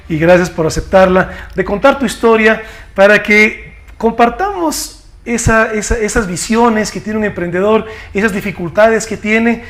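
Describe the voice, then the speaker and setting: Male host, high-pitched, formal interviewer who asks long, winding questions. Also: a bit bright.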